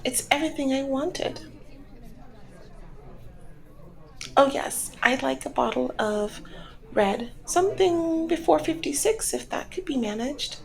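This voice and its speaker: Woman acting high, creaky-voiced flirty mob boss. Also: expressive, moderate pace. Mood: gushing, lovey-dovey. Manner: teasing, coy, smoothly in control. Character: flirty, teasing, commanding female mob boss. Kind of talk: ASMR roleplay, scripted one-sided dialogue.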